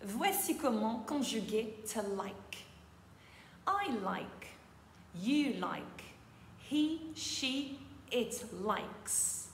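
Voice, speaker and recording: A woman teaching English in French, with a clear, careful delivery that slows down to model each English word. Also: fairly high pitch, big pitch swings, slight background noise. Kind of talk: scripted lesson narration. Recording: echoey room with long reverb, faint ambience.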